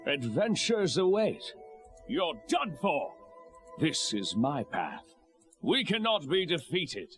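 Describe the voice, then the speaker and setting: A man's voice: fairly high pitch, sing-song, slow, fairly bright tone, exaggerated voice. A man voicing a snobbish, noble male adventurer in a game, sounding haughty. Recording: game audio clip with music.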